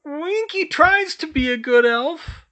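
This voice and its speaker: Squeaky drunk little elf lady, slow and sniffly, bright high voice. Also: exaggerated voice. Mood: weepy. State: tipsy and tearful. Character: winky, a tearful drunk female house-elf.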